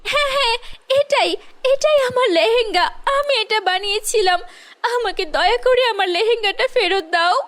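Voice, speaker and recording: Poor, good-hearted girl in a fairy-tale cartoon, played by a woman; tiny high voice, earnest and imploring. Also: moderate pace, a bit bright. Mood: earnest, pleading. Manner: earnest, pleading. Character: honest, poor, sweet young dancing girl.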